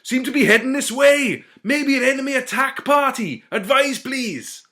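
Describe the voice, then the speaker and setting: A man's voice: extremely high pitch, expressive, moderate pace, sharp tone, voice pushed up, exaggerated voice. A man voicing a dutiful lookout soldier giving a clipped military report. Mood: alert.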